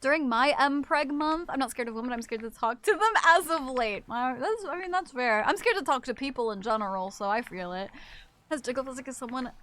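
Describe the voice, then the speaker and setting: Female game streamer, high-pitched and bright, casual joking banter with viewers, sweary and animated. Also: a little fast. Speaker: livestreamer playing an online game, chatting with viewers. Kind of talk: casual gaming stream chat.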